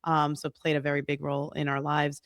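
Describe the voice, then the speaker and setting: Female podcast guest from New York, mid pitch, gravelly voice, chatty, dry sound. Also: monotone, fast talker, no echo. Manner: warm, chatty, laughs easily. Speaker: guest, massage and reiki therapist. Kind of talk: casual interview.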